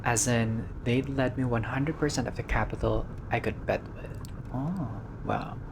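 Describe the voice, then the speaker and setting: A man doing a casual game playthrough, reading dialogue aloud and reacting with expressive, slangy asides. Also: medium pitch, unhurried, background noise. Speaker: gaming video player reading dialogue aloud.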